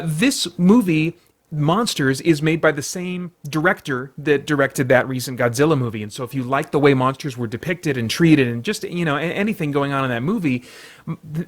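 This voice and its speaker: A man hosting a review show, giving chatty opinions and recommendations. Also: fairly high pitch. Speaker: podcast host and film reviewer.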